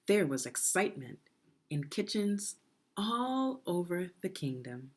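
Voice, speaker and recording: A woman reading a children's story aloud in a deliberate, expressive teaching manner, putting questions to the listener. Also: lowish voice. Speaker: education consultant reading a children's book aloud.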